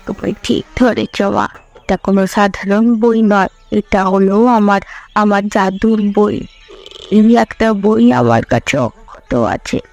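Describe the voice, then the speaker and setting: A woman voicing a knowing, solemn old granny who guards treasure in a cartoon fairy tale, speaking in a measured, instructive way. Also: mid-range voice, faint music behind, close to an everyday voice.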